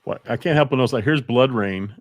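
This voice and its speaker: A man's voice: medium pitch, fast talker, no room sound. A man in his fifties hosting a video game podcast in a casual, chatty way. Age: 50s. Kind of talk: casual chat.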